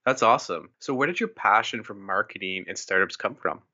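A man's voice: fairly low pitch, animated, fairly quick, sharp tone, highs cut off. A man co-hosting a podcast, reading a scripted intro and asking a guest questions with upbeat enthusiasm. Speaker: podcast co-host.

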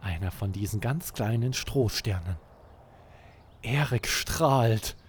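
Guy reading a kids bedtime story, low slow voice, expressive and deliberate, podcast host. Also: a little hiss or hum. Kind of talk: scripted bedtime story narration for children.

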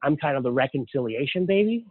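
A man's voice: medium pitch, animated, quick pace, no echo. A man from Hawaii, a podcast guest, telling his life story in a candid, plainspoken way. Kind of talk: casual interview storytelling. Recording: tinny, dry phone-like line.

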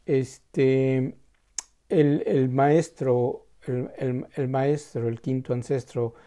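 A man telling a Zen story in a casual, halting way, full of restarts and tag questions. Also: medium pitch, steady tone, dark tone.

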